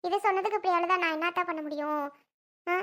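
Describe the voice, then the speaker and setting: A woman's voice: very high voice, steady tone, fast talker, bright, forceful tone. A woman voicing a scolding, defensive, exasperated aunt in a family drama. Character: scolding young aunt, exasperated and self-justifying.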